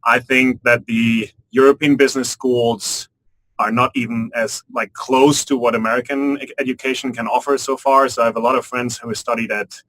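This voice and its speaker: A man on a student panel giving an animated, opinionated answer with frequent restarts and repeated words. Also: medium pitch, moderate pace, dry recording, poor audio.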